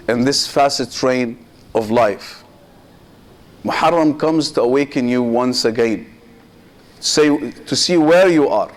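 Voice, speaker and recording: Man preaching in slow measured phrases. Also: a little high. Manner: slow, measured delivery in short phrases with pauses; addresses listeners directly and asks rhetorical questions. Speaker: sheikh giving a Muharram sermon. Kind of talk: sermon.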